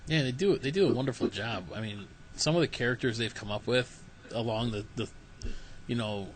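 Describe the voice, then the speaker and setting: A man's voice: medium pitch, a little fast, poor audio. Male host, loose chatty delivery that stumbles and repeats, light noise under the voice. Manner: chatty, rambling, repeats words. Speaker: podcast host. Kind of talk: casual interview chat.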